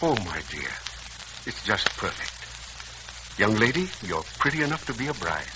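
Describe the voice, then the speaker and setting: Casting: avuncular, playful older man, lively intonation, old radio serial with faint hiss. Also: lowish voice, normal speed. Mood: jovial, fond. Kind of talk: 1940s radio serial acting. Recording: crackly, hissy 1940s radio recording.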